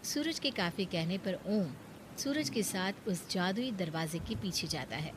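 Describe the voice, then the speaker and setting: A female storyteller delivers scripted cartoon narration. Her voice sits at a medium pitch, with clearly audible noise behind it.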